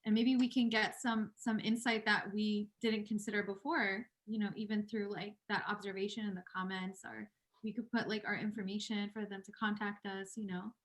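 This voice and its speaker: A woman's voice: medium pitch, little pitch movement, moderate pace. A woman on a video call offering tentative ideas in a casual discussion.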